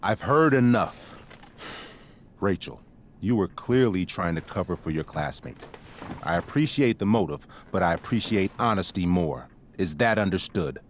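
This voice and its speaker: An actor playing a stern, middle-aged high school principal with a measured, officious manner in a video game drama. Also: male voice, expressive, a little hiss or hum. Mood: firm, reproving.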